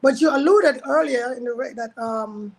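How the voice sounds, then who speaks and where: A woman's voice: a little high, moderate pace, a little mellow. A woman co-commentating on sport in casual chat, with an insistent, bantering way of talking.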